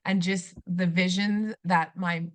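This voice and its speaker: A woman's voice: on the low side, fairly even intonation, slow pace, no echo. A middle-aged woman from Canada, a former teacher, speaking earnestly as a podcast guest and often restarting her sentences. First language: German. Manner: earnest, reflective, many restarts and fillers. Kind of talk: conversational interview.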